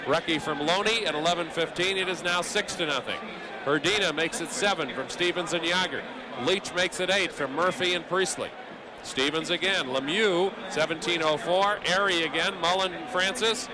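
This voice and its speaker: A man's voice: fairly high pitch, moderate pace, crowd noise. A man doing live radio play-by-play of a hockey game, wordy and wry, slipping conversational asides between the calls. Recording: live arena broadcast with background noise.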